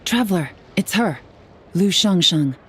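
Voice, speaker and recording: A woman voicing a composed, dry-witted female investigator in a video game, calm and businesslike. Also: fairly low pitch, unhurried, slight background noise. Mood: cautious, firm.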